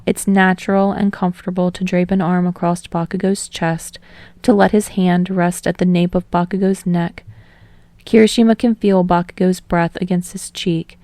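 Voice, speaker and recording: A woman narrator reading fiction aloud in an expressive, storytelling style. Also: fairly low pitch, moderate pace, creaky, no echo. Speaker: podfic narrator.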